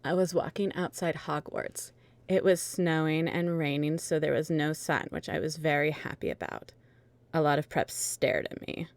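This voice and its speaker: A woman's voice: deep voice, fairly even intonation, normal speed, dry recording. A woman reading fan fiction dramatically as a melodramatic, self-absorbed teenage goth vampire witch. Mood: self-absorbed, matter-of-fact.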